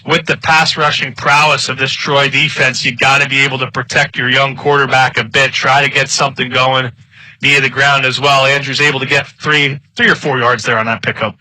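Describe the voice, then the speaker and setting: A man's voice: medium pitch, flat delivery, fairly quick, bright, forceful tone, dry recording, rough recording. A man with an American accent giving color commentary and analysis during a live American football broadcast.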